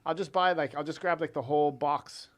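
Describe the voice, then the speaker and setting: A man's voice: on the high side, a little fast. A man co-hosting a podcast, chatting casually with lots of fillers and easy laughter. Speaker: podcast co-host. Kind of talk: casual chat.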